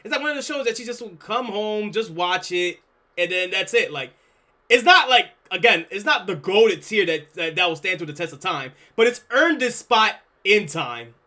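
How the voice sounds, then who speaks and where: A young man streaming, reacting casually to a video, excitable and swearing freely. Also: high-pitched, moderate pace. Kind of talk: live reaction stream chat.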